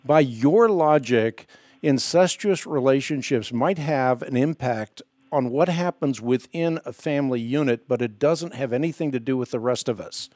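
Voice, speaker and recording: A man's voice: mid-range voice, normal speed, darkish tone, no echo. A man born in the Netherlands with Dutch as his first language, pressing a caller with repeated questions in a radio debate.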